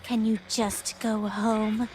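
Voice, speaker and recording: Female game character: very high, slow delivery, big pitch swings, slight noise. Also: little pitch movement, darkish tone. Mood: cold, dismissive. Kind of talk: video game voice acting.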